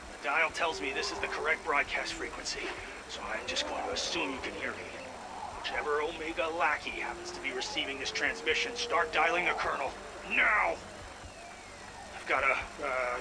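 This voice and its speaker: A man's voice: medium pitch, lightly acted. Through a noisy radio log, a male actor plays a stranded military officer in a video game. He is agitated, bossy and theatrical, with a mid-range voice and an indignant, desperate edge. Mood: impatient, commanding.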